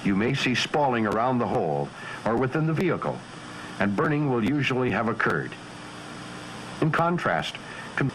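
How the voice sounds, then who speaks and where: Male American narrator for instructional film: measured, factual, formal read with lively inflection, mid pitch. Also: a little hiss or hum, poor audio. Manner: formal, measured, matter-of-fact.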